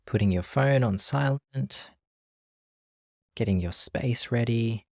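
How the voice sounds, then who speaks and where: A meditation teacher leading a guided practice in a gentle, calm, measured way with long pauses. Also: mid-range voice, vocal fry, no room sound, studio quality.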